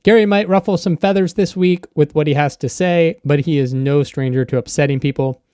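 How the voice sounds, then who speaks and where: A man's voice: on the high side, a little fast, warm tone, clean tone, no room sound, clean studio sound. A man hosting an interview podcast in a casual, conversational style.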